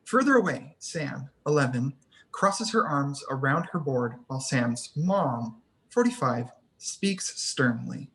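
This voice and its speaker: A woman's voice: very deep voice, expressive, takes it slowly, poor audio. A woman reading screenplay stage directions as the narrator of a table read.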